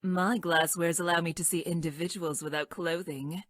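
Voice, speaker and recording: A woman voicing a stranded time-traveling scientist in a video game, clinical and detached, speaking in stilted, formal, technical language with a deadpan delivery. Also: lowish voice, moderate pace, no echo, studio quality, close to an everyday voice. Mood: clinical fondness. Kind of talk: scripted video game character lines.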